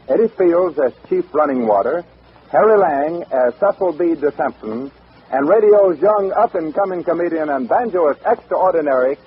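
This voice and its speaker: Husky American old-time radio announcer, tinny sound. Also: male voice, fairly high pitch. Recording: tinny, narrow-band vintage radio recording.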